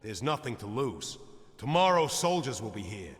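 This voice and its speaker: A man's voice: medium pitch, sing-song, moderate pace, lightly acted. An actor playing an anguished, defiant man trapped by fate in a video game, declaiming dramatically. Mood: desperate defiance. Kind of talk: theatrical scripted game dialogue. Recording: long hall reverb.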